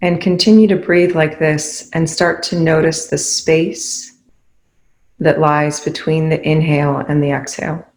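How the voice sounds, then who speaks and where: A meditation guide speaking calmly and instructively, leaving long pauses between phrases. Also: medium pitch, poor audio.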